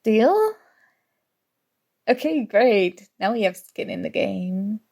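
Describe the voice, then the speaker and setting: A woman's voice: mid-range voice, very animated, slow, creaky, husky, exaggerated voice. A young woman acting in an ASMR audio roleplay as a prickly but grudgingly fond student, teasing and defensive. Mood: bargaining, coy. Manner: teasing, defensive, flustered, soft-spoken tsundere.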